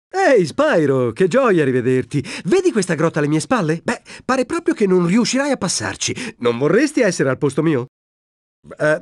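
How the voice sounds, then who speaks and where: An actor playing a cocky, friendly young cheetah sidekick in a video game dub, breezy, boastful and expressive. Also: male voice, fairly high pitch, dry recording, studio quality. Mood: cheerful. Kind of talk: animated video game voice acting.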